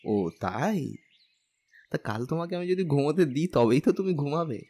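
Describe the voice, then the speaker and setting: A man's voice: fairly high pitch, lively intonation, moderate pace, mellow. A young man playing a caring, playful husband who coaxes and teases in a romantic audio story. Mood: teasing. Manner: teasing, coaxing.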